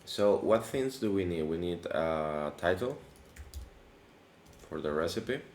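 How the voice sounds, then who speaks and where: Man with a very deep allergy-worn voice. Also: slow pace. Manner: relaxed, chatty, frequent fillers and restarts. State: voice deepened by allergy. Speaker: coding tutorial host. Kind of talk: casual screen-recorded coding tutorial. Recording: echoey room with faint background noise.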